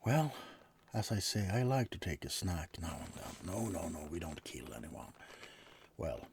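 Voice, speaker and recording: A man playing a suave, teasing vampire in a soft, often whispered bedside ASMR roleplay, murmuring with dramatic pauses. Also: deep voice, sing-song, noisy background. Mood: chatty, indignant.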